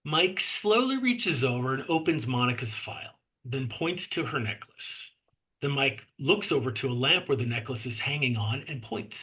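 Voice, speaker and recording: Man, stage-direction narrator, medium pitch, sharp bright tone, husky, scripted delivery. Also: lively intonation, normal speed, low-quality recording.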